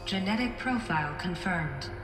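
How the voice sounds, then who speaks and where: A woman playing an impassive computer in a video game, making flat procedural announcements. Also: lowish voice, normal speed, close to an everyday voice. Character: impassive female computer voice guarding an ancient door. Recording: echoing, with loud music behind.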